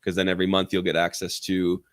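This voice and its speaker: A man talking casually as a podcast guest, easygoing and halting with frequent ums. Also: low voice, monotone, clean tone, dry recording, clean studio sound. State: recently over the flu. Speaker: podcast guest, children's author.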